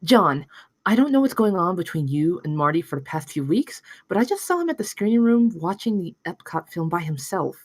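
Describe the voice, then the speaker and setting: A woman's voice: lowish voice, sing-song, brisk. A woman reading the part of a frank, earnest writer at a screenplay table read. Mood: concerned. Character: frank, earnest female writer colleague named Peggy.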